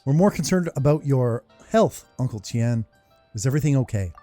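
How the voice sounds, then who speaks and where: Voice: mid-range voice, animated, normal speed, mellow, no room sound, faint music behind. A game streamer giving casual playthrough commentary.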